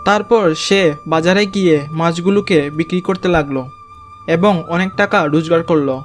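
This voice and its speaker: A man's voice: sits high, brisk, soft background music. A man narrating a scripted cartoon story.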